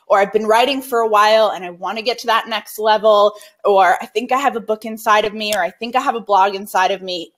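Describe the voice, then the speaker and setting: A woman in her twenties teaching a live-streamed writing course, chatty with lots of fillers, a little congested from a sinus infection. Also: mid-range voice. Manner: chatty, warm-hearted, many fillers. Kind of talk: live-streamed online class.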